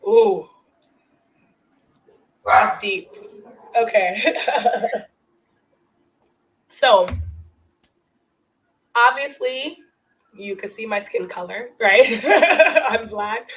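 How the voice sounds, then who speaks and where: A young adult woman with a somewhat high voice tells stories as a podcast guest in a casual, animated way. She laughs often and briefly acts out other people's lines, and her family is from Guatemala. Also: very slow, pauses often, a little hiss or hum, low-quality recording. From United States, family from Guatemala. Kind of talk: casual conversational interview.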